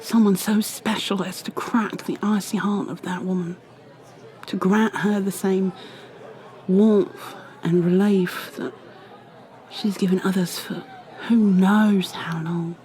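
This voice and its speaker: A woman playing a kindly, chatty tavern keeper in an ASMR roleplay, rambling gently with fillers and slipping into whispers. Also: mid-range voice, steady tone, slow pace, lightly acted, rough recording. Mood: gossipy.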